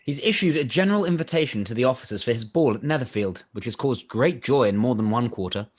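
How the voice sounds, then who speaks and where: A man as an ingratiating young officer, mid-pitched. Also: animated, quick pace, lightly acted. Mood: measured. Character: smooth-talking, ingratiating young army officer. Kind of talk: period radio play live read.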